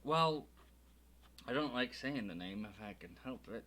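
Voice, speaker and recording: A man voicing a huge, gruff but kindly giant with rough, folksy speech in an audiobook reading. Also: mid-range voice, expressive, a little slow, pushed and bright, a little hiss or hum, pitched below the performer's own voice. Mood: low worried.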